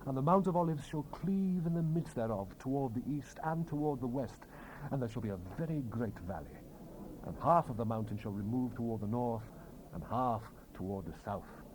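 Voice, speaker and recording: A man's voice: medium pitch, lively intonation, moderate pace, a little mellow. A man giving a solemn, declamatory dramatized reading of the Bible as narrator. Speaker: scripture narrator. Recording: noisy 1970s cassette rip.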